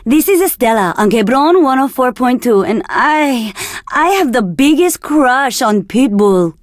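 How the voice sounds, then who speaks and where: Flirtatious radio DJ from Argentina for a game: high, breathy, teasing, very dry close sound. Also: female voice, expressive, unhurried, dry recording. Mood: flirty, playful. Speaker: radio DJ host. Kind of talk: scripted radio DJ patter for a video game.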